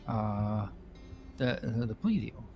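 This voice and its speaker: A man's voice: on the low side, lively intonation, slow pace, creaky, a little mellow, soft background music, exaggerated voice. A man playing an anxious, hesitant criminal defendant in improvised game roleplay, stammering and repeating his words. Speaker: roleplay streamer playing a character.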